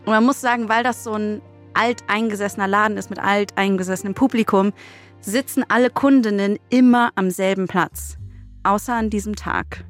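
A woman casually pitching a story as a co-host, setting the scene in colloquial language. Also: fairly even intonation, moderate pace, soft background music. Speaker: co-host pitching a crime audio drama story.

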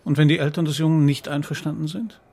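An actor playing a tactful, sceptical police detective in a radio crime drama, measured and politely insistent. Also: male voice, fairly high pitch, fairly even intonation, creaky, a little mellow, slightly rough. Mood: polite, guarded. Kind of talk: understated radio play acting.

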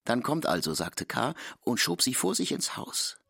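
A man's voice: medium pitch, big pitch swings, measured pace, gravelly, pushed and bright. A man narrating literary prose in an audio drama.

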